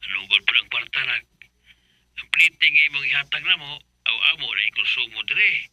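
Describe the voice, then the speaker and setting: A man acts out a humble father in a radio play, apologizing gently. His voice is very bright and dry, close to the mic. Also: mid-range voice, animated, a little fast, dry recording, low-quality recording. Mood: apologetic. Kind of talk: radio drama acting.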